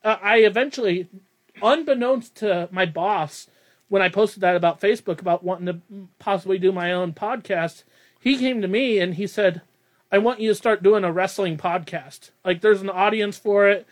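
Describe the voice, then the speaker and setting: High-voiced rambling male podcast host. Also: normal speed. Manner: rambling, self-deprecating, laughs often. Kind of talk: casual podcast chat.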